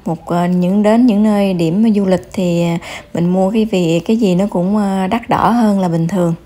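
Mellow southern Vietnamese woman guiding travelers. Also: medium pitch, steady tone. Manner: halting, pauses often mid-phrase. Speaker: travel vlogger. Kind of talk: informal travel guide narration.

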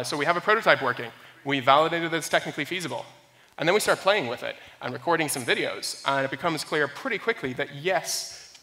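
A young man giving a prepared technology talk at a conference in a measured, explanatory way. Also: fairly high pitch, sharp tone. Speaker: software engineer, conference speaker. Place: indoor conference room, speaking on stage. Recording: reverberant hall sound.

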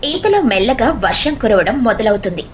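A woman's voice: medium pitch, big pitch swings, brisk. A woman storyteller reading a scripted children's story narration. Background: music.

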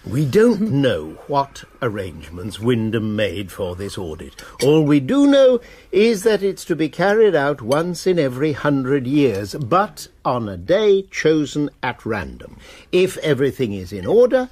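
An actor playing an urbane college master who explains matters calmly in a radio drama. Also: male voice, medium pitch, breathy edge. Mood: explaining. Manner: measured, explanatory. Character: Master of a Cambridge college, urbane older man.

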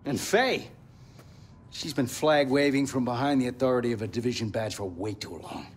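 A man's voice: mid-range voice, lively intonation, moderate pace, husky. A man playing a stern, accusing settlement leader full of distrust in a video game. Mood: bitter, warning. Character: bitter, distrustful male leader of a refugee settlement. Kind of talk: dramatic game dialogue.

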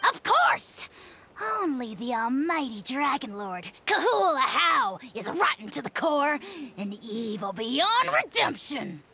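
A woman's voice: high voice, sing-song, slow, pushed and bright, slight background noise, full character voice. A woman voicing a tiny male dragon lord in a video game, pompous, bombastic and boastfully evil. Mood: grandiose. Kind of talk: video game character acting.

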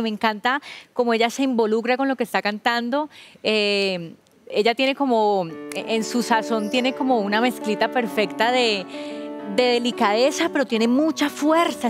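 Young female coach, a bit high-pitched, effusive, with soft music under her voice. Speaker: show coach. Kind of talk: casual spoken feedback. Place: large TV studio.

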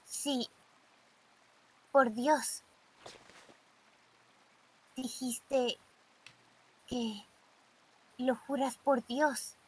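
A woman voicing a quiet, emotionless teenage girl in a live fan dub of a game, speaking in a flat, calm, breathy way. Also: high voice, full character voice, low-quality recording. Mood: intent. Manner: flat, calm, slow questioning.

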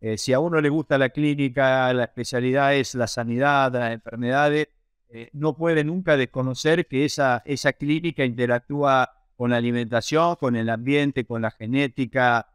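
Smooth-voiced older Argentine man. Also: mid-range voice, fairly even intonation, moderate pace, no echo, clean studio sound. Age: late 50s to 60s. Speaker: interview guest, pig production researcher and lecturer. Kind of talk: conversational interview answers, explanatory. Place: small indoor office on a video call. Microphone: wired earphone headset.

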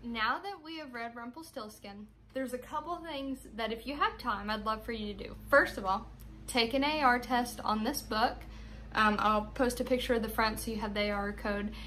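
A young American woman with a high voice speaks as a teacher to children. Her delivery is expressive, in the manner of someone reading a storybook aloud. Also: normal speed, a little hiss or hum. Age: young adult.

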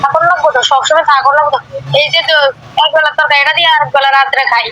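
A woman's voice: sits high, steady tone, racing. A woman with a rural eastern Bengali dialect in a casual domestic phone chat, complaining and arguing back, recorded over a call. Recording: phone call with faint noise.

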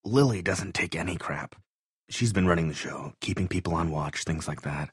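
Earnest awkward zombie-game survivor, low-pitched, rough husky voice, hesitant, wry, matter-of-fact, naturalistic delivery. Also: male voice, moderate pace, no echo, clean studio sound. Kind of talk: naturalistic video game voice acting.

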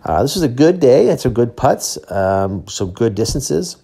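A man's voice: mid-range voice, sing-song, a little slow, creaky, dark tone, slightly rough. A middle-aged man giving a casual, plain-spoken voice-over of training footage, explaining as he goes. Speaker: athlete and training vlogger.

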